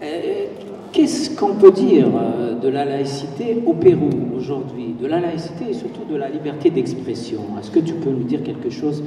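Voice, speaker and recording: A man's voice: mid-range voice, animated, normal speed, warm tone, a little hiss or hum. A man moderating a conference panel, passing the floor to speakers with brief questions, heard from far off in a hall. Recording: distant echoey hall.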